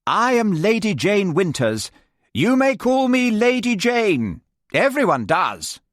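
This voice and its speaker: A man voicing an imperious heavy-set upper-class woman with a high, loud, grating voice. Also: wildly swooping pitch, takes it slowly, no room sound, studio quality, full character voice. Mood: haughty. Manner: haughty, demanding.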